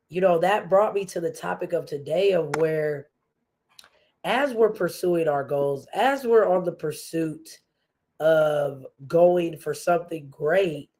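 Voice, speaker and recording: Slow-talking woman with a stuffy nose. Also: low-pitched, mellow. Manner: slow, earnest, with many fillers and restarts. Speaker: podcast host. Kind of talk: faith-based motivational podcast talk.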